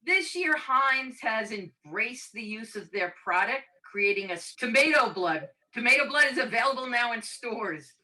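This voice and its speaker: A woman's voice: a little high, animated, moderate pace, hardly any pauses, pushed and bright, rough recording. A woman delivering a scripted mock news report over a video call. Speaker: club member giving mock news report.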